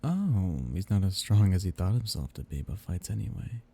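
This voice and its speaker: Man voicing a dark, gravelly, seductive vampire captor. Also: sits low, sing-song, full character voice. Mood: amused, indulgent. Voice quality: dark, breathy, gravelly murmur. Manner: teasing, slow-savoring, condescendingly tender. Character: seductive, possessive male vampire captor, smugly amused. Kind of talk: intimate ASMR roleplay audio drama.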